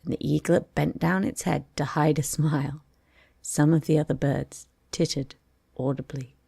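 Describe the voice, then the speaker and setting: Female bedtime storyteller, low register, husky and creaky, scripted narration. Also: steady tone, normal speed, warm tone, no room sound, clean studio sound.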